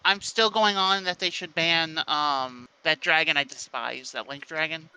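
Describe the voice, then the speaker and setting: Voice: mid-range voice, measured pace, sharp tone, clean tone, rough recording. A podcast participant chatting casually with friends over online voice chat.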